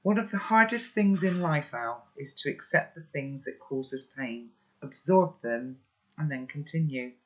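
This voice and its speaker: A reader voicing a calm, wise elderly grandfather who speaks slowly and thoughtfully, in a slightly muffled recording. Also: mid-range voice, natural voice. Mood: calm, gently wise. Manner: slow, measured, philosophical.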